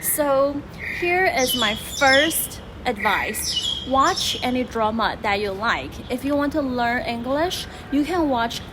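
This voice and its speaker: Young woman from Taiwan, Chinese as first language, slightly high voice, casual vlog, noisy background. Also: animated, slow. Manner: chatty, teacherly, gives advice. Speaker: channel host. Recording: noticeable background noise.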